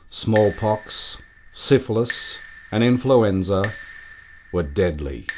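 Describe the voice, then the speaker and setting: A man's voice: lowish voice, a little hiss or hum. A man narrating history from a script for a radio play. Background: guitar music.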